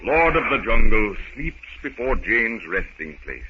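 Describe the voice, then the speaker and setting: A man's voice: fairly low pitch, big pitch swings, unhurried, lo-fi sound. A man giving florid, dramatic scripted narration in the manner of a 1930s radio adventure serial. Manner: dramatic, declamatory storytelling. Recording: scratchy, band-limited 1930s radio recording.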